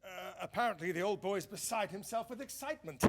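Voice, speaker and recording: A man's voice: sits high, animated, fast, heavy background noise. A man playing a dry, matter-of-fact 1920s wireless company man in a radio drama. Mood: informative. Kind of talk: period radio drama acting.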